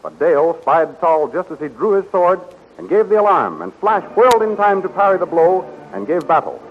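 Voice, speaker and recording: High-pitched male 1930s radio announcer. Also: big pitch swings, normal speed, slight background noise. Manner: declamatory, dramatic. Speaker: announcer and narrator. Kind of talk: 1930s radio scripted narration. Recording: dull 1930s radio recording, faint music.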